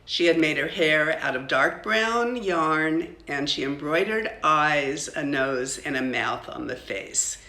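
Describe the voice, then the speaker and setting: A woman's voice: low voice, slow pace, hardly any pauses, sharp tone. An older woman narrating a children's storybook aloud, as a grandmother reading to family. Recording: echoey, roomy sound.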